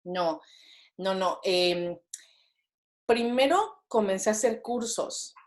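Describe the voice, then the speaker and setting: A Mexican woman in her thirties talks as a guest on a podcast. Her voice sits at a middle pitch. She chats freely and keeps looping back with fillers and quick tag questions. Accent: Mexican Spanish. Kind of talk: casual interview conversation.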